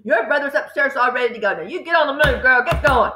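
A woman voicing a gruff, impatient, bullying father in a storybook, curt and snapping out orders. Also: sits high, lively intonation, fast talker, roomy sound, noisy background. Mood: brusque, hurried.

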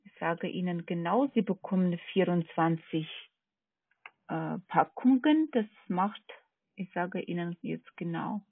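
A woman's voice: on the low side, unhurried, breathy edge, no room sound. A woman with a foreign accent and non-native German, working as a call centre agent, hesitantly checking and repeating order details over a phone line. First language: not German. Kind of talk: phone sales conversation. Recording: tinny narrowband phone line.

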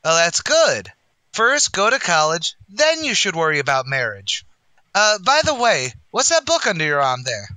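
Man acting blustering uncle, high, piercingly bright voice. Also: wildly swooping pitch, moderate pace, no echo, full character voice. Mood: hearty, avuncular. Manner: folksy, ungrammatical, bluffing wise-guy delivery. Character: blustering, boastful, uneducated tavern manager uncle. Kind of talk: old-time radio comedy acting.

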